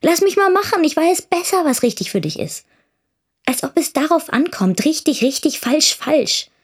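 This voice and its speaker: An actress playing a defiant, wounded young daughter in a first-person radio drama monologue. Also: female voice, sits high, very animated, normal speed. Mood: scornful. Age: young adult. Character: defiant, wounded teenage daughter of a know-it-all teacher.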